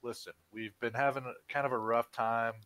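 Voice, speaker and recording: A man playing his character at a tabletop game, speaking haltingly and trying to calm others. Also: medium pitch, smooth. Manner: halting, placating, lots of fillers. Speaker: player.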